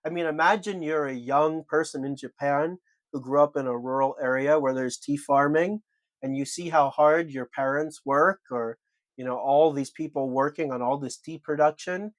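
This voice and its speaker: A man's voice: a little high, moderate pace, no room sound. A man co-hosting a podcast, laying out his argument point by point with emphasis. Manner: emphatic, builds arguments in lists. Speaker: podcast co-host.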